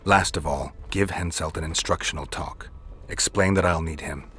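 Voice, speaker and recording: An actor playing a gruff, world-weary witcher in a video game, low and gravelly, speaking in terse, flat lines. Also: normal speed, soft background music, exaggerated voice. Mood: dry, commanding. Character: gruff, laconic, world-weary male monster-hunting witcher. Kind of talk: video game dialogue acting.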